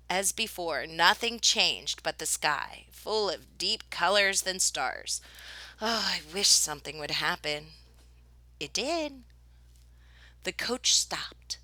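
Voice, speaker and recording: A woman reads a children's storybook with a bright voice and lively, swooping intonation. She takes her time over the lines and plays up the drama, with a close, dry sound. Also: medium pitch, dry recording. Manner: dramatic, with whispered suspense and excited exclamations. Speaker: teacher reading a picture book aloud. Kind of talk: expressive children's storybook read-aloud.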